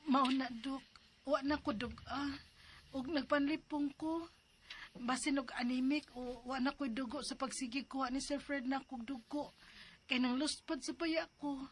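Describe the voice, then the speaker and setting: A woman's voice: high-pitched, steady tone, a little slow, slight background noise. A woman telling a dramatized first-person story in a plaintive, confiding way. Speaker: storyteller narrating as the letter-sender.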